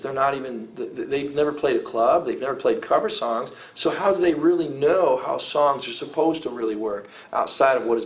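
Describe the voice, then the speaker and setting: A middle-aged man who sings rock, talking in a casual interview, rambling with many fillers and restarts. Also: mid-range voice. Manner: rambling, heavy use of "you know" and "I mean", frequent restarts. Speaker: rock vocalist giving advice.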